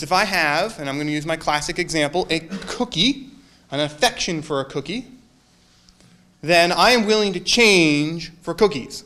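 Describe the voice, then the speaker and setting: Male minister, fairly high voice, chatty sermon with jokes and audience quizzes. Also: bright, forceful tone. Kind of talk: casual sermon to a congregation.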